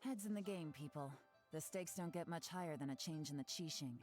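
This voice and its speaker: Actress playing a slick female spy in a game, low, mellow voice, teasing and sure of herself, faint music behind. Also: natural voice. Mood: businesslike. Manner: wry, confident, brisk. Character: cool, teasing female covert agent. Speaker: game character. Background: game soundtrack music.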